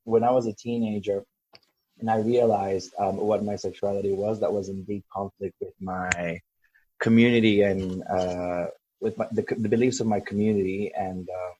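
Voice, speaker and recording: A man from El Salvador, a coach being interviewed, speaking thoughtfully with many pauses and ums. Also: fairly low pitch, mellow, husky, rough recording. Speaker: guest, coach. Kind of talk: podcast interview.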